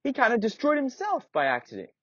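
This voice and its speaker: A man voicing an eager, slightly scared little boy in a chirpy, excitable way. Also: very high voice, fast, no echo, voice pushed up, exaggerated voice. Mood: amazed. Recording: dull lo-fi recording.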